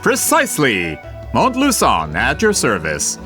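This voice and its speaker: A man's voice: mid-range voice, wildly swooping pitch, a little slow, music in the background. A man voicing an eager, courteous book merchant in a video game, with a florid, theatrical way of talking. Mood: eager, ingratiating.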